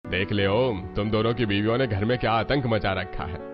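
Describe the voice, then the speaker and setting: A man's voice: lowish voice, steady tone, brisk, close to an everyday voice. A man voicing a weary, grumbling older father-in-law in a cartoon. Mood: aggrieved. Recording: dull lo-fi sound under clear music.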